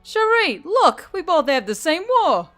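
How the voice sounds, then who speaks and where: Woman voicing shrill, shouting, excitable centaur girl. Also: a little slow, faint music behind, heavily acted. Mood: delighted. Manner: shouting in eager bursts. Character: excitable, loud young female centaur, bubbly and pushy.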